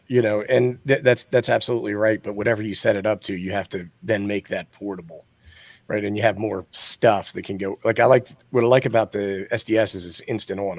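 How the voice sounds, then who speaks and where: A man's voice: fairly low pitch, darkish tone, dry recording. A man chatting casually and explaining his take in an informal, conversational way. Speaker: podcast panelist and ham radio hobbyist. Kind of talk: casual chat.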